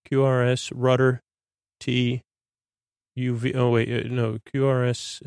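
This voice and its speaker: A man with a creaky voice hosting a bedtime podcast, soothing and meandering, stammering as he drifts off into tangents. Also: mid-range voice, little pitch movement, slow pace, darkish tone, no room sound, studio quality. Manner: soothing, lulling delivery. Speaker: podcast host. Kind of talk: rambling bedtime monologue.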